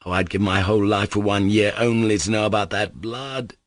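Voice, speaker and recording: A man's voice: lowish voice, steady tone, fairly quick, no echo, clean studio sound, close to an everyday voice. A man voicing a drunken young man who speaks fervently and with swagger.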